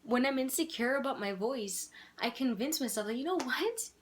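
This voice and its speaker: Young woman w/ a kinda childish high-ish voice, candid rambly vlog chat, laughs at herself. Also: animated, moderate pace, a little hiss or hum. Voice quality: childish-sounding voice. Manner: candid, rambling, self-deprecating with frequent soft laughs. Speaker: vlogger. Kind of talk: casual personal video letter to her twin.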